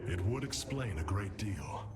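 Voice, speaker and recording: A man's voice: deep voice, expressive, a little slow, heavy background noise. A man playing a stern, dignified alien commander in a video game, formal and grave. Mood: wary. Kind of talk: theatrical video game dialogue.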